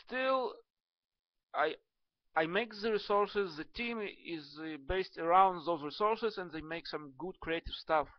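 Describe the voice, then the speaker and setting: A young man from Ukraine, a native Russian speaker, with a Russian and Hebrew accent, talking to camera in a halting, casual way with many fillers and restarts. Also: a little high, dry recording, highs cut off. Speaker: video blogger.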